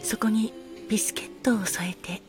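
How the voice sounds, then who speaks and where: A woman's voice: medium pitch, sing-song, normal speed. A woman in a scripted solo radio-drama narration, speaking formally and politely as the courteous master of a seaside cocktail bar. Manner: polite, formal hospitality speech. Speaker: podcast host playing bar master. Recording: music behind the voice. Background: music.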